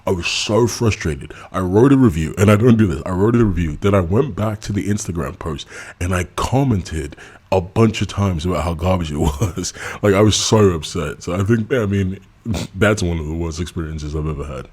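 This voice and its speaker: Male channel host, deep husky voice, candid and blunt in a relaxed Q&A. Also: normal speed. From England. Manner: candid and blunt, laughs often. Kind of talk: casual subscriber Q&A.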